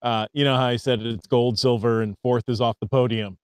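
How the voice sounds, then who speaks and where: A man's voice: mid-range voice, steady tone, brisk, clean tone, no room sound. Upbeat American man co-hosting a podcast. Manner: upbeat, reads listener emails aloud, stumbles on foreign words. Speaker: podcast co-host. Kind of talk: casual podcast chat.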